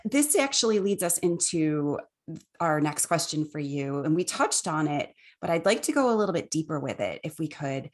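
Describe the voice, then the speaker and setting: A woman hosting a podcast interview, asking her guest questions in a warm, measured way. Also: low-pitched. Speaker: podcast host.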